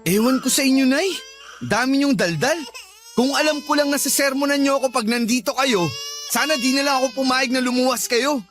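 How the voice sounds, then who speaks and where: A man's voice: very high voice, fairly quick, over music. A man playing a sullen, discouraged young Filipino working-class son, plaintive and grumbling, in a Tagalog radio drama. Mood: resentful.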